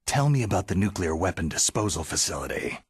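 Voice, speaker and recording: An actor playing a gruff, skeptical ex-soldier in a video game cutscene, with a terse delivery and a heavy rasp. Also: male voice, low voice, big pitch swings, fast talker, no echo, full character voice. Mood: terse, businesslike. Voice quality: very husky, gravelly rasp. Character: gruff, defiant retired special-forces soldier on a covert mission.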